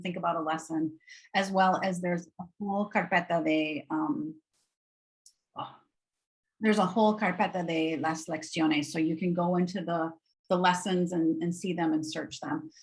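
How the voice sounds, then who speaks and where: A woman's voice: fairly low pitch, fairly even intonation, normal speed, poor audio. A woman with a nasal Wisconsin accent giving an upbeat, informal webinar walkthrough of educational resources in a conversational way.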